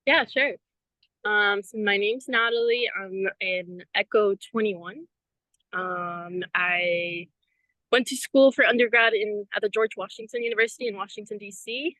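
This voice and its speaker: A young woman from Venezuela talks casually as an interview guest, her voice bright and clear. She rambles in a friendly way and says um and like often. The sound is dry and close. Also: medium pitch, measured pace, dry recording, low-quality recording. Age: 20s. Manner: chatty, friendly, many ums and likes. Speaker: guest, ministry program member. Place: outdoors in front of a cathedral.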